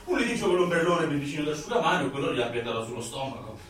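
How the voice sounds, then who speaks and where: A chatty young junk-shop seller in a radio play, high-voiced and swooping in pitch. He rambles cheerfully and jokes like a born salesman. The sound is very echoey, with faint music behind. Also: animated, racing, a bit bright, far from the mic. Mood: casual. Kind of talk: radio drama acting.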